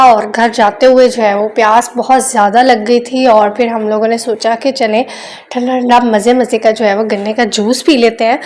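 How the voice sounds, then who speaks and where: A chatty young woman narrates her day in a relaxed vlog voice-over. Her voice sits a little high, and her homely, rambling delivery leans on repeated filler phrases. A sore throat gives her a slight rough edge. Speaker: vlogger.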